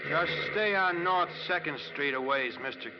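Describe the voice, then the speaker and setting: A man's voice: a little high, moderate pace, natural voice. Actor as reserved man, noisy muffled radio. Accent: American. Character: quiet, reserved male passenger in a crime story. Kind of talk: 1940s-50s American radio drama acting.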